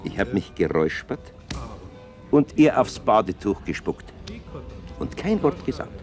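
A man's voice: fairly low pitch, big pitch swings, measured pace, darkish tone, faint music behind. An actor playing a boastful, thuggish man who talks smugly and menacingly in a radio play. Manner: smug, menacing storytelling. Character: boastful thuggish man telling of paid intimidation.

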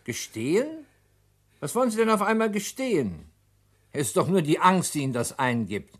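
Radio drama: a stern prosecutor, higher voice, lively pitch, brusque. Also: male voice, normal speed. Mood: brusque, commanding. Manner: clipped, commanding. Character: stern male public prosecutor, authoritative. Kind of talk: vintage radio play acting.

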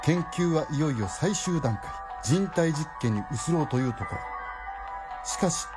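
An actor playing a theatrical storyteller who narrates a radio drama in formal, dramatic phrases. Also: male voice, on the high side, animated, moderate pace, over music, lightly acted. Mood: dramatic, grave.

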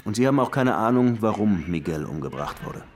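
An actor playing a hard-boiled, short-tempered Cuban police detective who also narrates a German radio crime drama in a dry, sardonic way. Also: male voice, lowish voice, big pitch swings, fairly quick, darkish tone. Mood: pressing, sardonic. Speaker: detective and first-person narrator.

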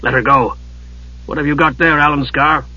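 A man voicing a gruff, growling, menacing thief boss who gives orders in an ominous way, for a fantasy audiobook. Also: fairly high pitch, fairly even intonation, normal speed, bright, forceful tone, no room sound, dull audio. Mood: gruff, commanding. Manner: commanding, ominous.

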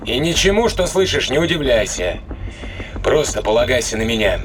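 A man playing a gruff, defiant spaceship captain in an audio drama, blunt and commanding in the way he talks. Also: medium pitch, sing-song, normal speed, a bit bright, slight background noise. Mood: resolute.

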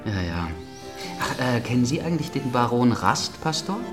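A man's voice: medium pitch, sing-song, normal speed, music in the background, lightly acted. An actor playing a sardonic, sickly middle-aged nobleman in a radio play, agitated and theatrically mocking. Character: bitter, sardonic, ailing aristocrat in his forties or older. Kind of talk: German literary radio play acting.

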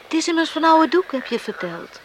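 A woman's voice: high-pitched, big pitch swings, a little fast, noisy background. An actress playing an exasperated yet tender young woman in a Dutch radio drama, with an emotive, scolding and teasing delivery. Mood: gentle, reproachful.

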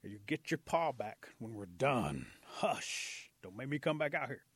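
A man voicing a stern, forceful panther who scolds slowly and emphatically in a storybook tale. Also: medium pitch, animated, slightly rough. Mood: scolding. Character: stern, forceful panther enforcer, strong and brave.

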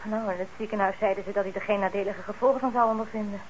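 A woman's voice: mid-range voice, steady tone, racing, close to an everyday voice. A woman playing an indignant, protective daughter in a radio drama, curt and disapproving. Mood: defensive. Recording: dull, noisy old radio recording.

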